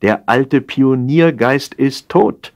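A man's voice: medium pitch, slow, a little mellow, voice pushed up. A man playing an opinionated café regular in a satirical story, explaining things slyly and pompously with emphasis. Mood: lecturing. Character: opinionated male café regular, slyly lecturing his friend.

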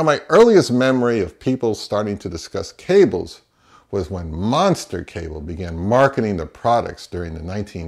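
Middle-aged man, very lively, swinging intonation. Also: lowish voice. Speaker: audio review channel host. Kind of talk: opinionated talk to camera. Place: small home office, indoors.

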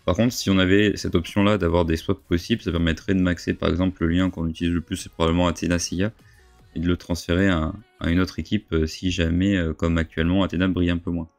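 A man's voice: low voice, level tone, fast talker, darkish tone, no echo. A man in his thirties with a congested nose from a cold, giving hesitant, casual game commentary into a close desk microphone. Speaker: gaming video host. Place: indoor home streaming setup. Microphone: large desk microphone, close.

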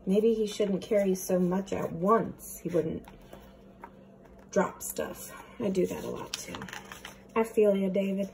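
A young woman teacher reading a storybook aloud to children, with playful, expressive comments. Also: mid-range voice, slow pace, long pauses, background noise. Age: young adult.

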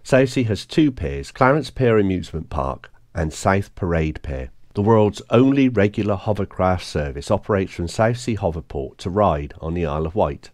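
Male vlogger, very low voice, steady informative voice-over narration for a travel series. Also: normal speed, hardly any pauses, no room sound, studio quality. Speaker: vlogger narrating a motorbike tour.

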